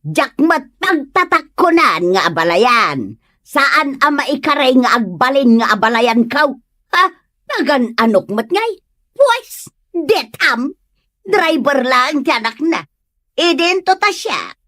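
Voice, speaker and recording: A woman plays a haughty middle-aged mother in a comedy radio drama. Her voice is high, forceful and very animated, dismissing people with scolding scorn. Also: slow, dry recording, clean studio sound, full character voice. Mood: scornful. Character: haughty, hot-tempered mother looking down on others.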